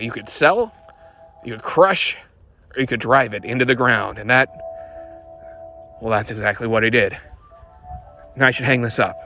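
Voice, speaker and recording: A man acts a world-weary detective telling a dark case in noir monologue. He is brooding and knowing, with deliberate, dramatic phrasing. Music plays behind him. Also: medium pitch, lightly acted. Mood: resigned. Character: brooding, world-weary male hot rod detective narrator.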